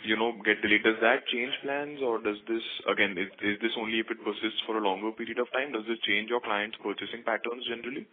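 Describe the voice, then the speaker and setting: A man's voice: fairly low pitch, steady tone, fast talker, few pauses. A man with an Indian accent politely asking questions as an investment analyst on an earnings call. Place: phone conference call. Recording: narrow, tinny phone line.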